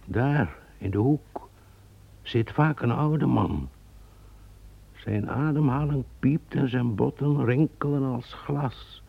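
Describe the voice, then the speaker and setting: An actor playing a mysterious lawyer who speaks ominously in an old radio play. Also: male voice, mid-range voice, slow pace, vocal fry, slightly rough, lo-fi sound, exaggerated voice. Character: Judas, a mysterious male lawyer who waits in ruins. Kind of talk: radio drama acting.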